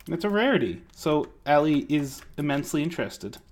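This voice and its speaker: Guy with a slightly high voice joking around on a drawing stream, laughs a lot, desk mic. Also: very animated, brisk, darkish tone. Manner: playful, laughs often, jokey. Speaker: co-host of an art critique stream. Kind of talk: casual group chat.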